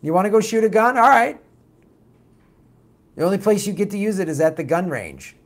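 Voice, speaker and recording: Raspy man, casual opinionated chat, reads out viewer comments. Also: high-pitched, brisk, a little hiss or hum. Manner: blunt, animated, mocks opponents with put-on quotes. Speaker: political podcast host reading viewer comments.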